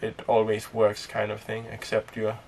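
A young man who grew up speaking Danish, chatting casually to camera inside a small sailboat, relaxed and rambling with lots of fillers. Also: lowish voice, moderate pace, creaky, far from the mic. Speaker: sailing vlogger. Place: inside a small sailboat cabin and cockpit tent.

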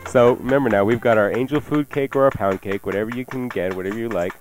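Guy doing casual radio cooking talk, talks quick, wry asides, music behind him. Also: medium pitch. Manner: conversational, wry joking asides. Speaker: radio cooking show host. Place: radio studio.

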